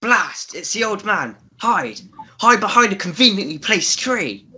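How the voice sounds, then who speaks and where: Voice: medium pitch, very animated, pushed and bright, slight background noise, heavily acted. An actor playing a gloating, scheming male villain in a theatrical radio melodrama. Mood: alarmed. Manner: slow, gloating, theatrical delivery. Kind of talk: old-fashioned radio melodrama acting.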